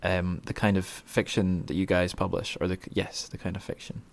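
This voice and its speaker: A man's voice: low voice, little pitch movement, moderate pace, creaky. A man hosting a casual podcast interview, speaking in an awkward, hesitant, self-deprecating way. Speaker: podcast host.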